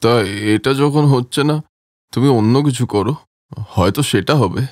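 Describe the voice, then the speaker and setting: Cartoon husband, male actor: blind, gentle, caring, low pitch, dry sound. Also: normal speed, dry recording, lightly acted. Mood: concerned. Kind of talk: cartoon story voice acting.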